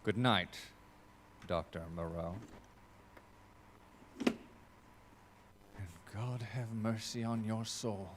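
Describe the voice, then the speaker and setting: An actor playing a wary, shaken gentleman in a live audio drama, hesitant and halting. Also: fairly low pitch. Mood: wary, grave. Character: wary, shaken gentleman guest of a mad scientist. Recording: live stage recording, faint room noise.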